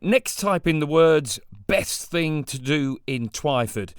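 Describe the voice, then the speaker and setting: A man's voice: a little high, expressive, takes it slowly, clean tone, dry recording, studio quality. A man hosting a local podcast, reading a jokey upbeat intro and then interviewing a guest. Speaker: podcast host and producer.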